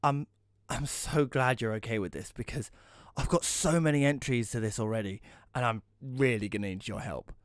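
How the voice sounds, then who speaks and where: A man playing a hesitant magazine writer who tells his own story in an audio drama, in a conversational, slightly halting way. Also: medium pitch, clean tone, no room sound, studio quality, close to an everyday voice. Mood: relieved. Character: Rory, male magazine writer, hesitant, self-doubting narrator. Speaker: narrator and lead character. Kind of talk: first-person audio drama narration with dialogue.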